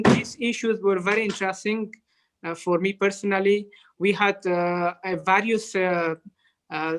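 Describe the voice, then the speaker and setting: A man with an Afghan accent giving unscripted interview answers as a guest, courteous, halting and hesitant. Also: sits high, a bit bright. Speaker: interview guest, development professional.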